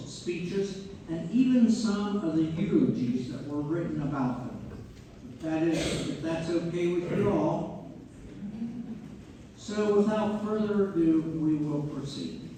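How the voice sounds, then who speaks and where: A man's voice: a little high, expressive, slow pace, mellow. A man playing a chatty, opinionated narrator who addresses the audience conversationally and rhetorically in a stage read-through. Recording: distant, echoey hall, faint noise.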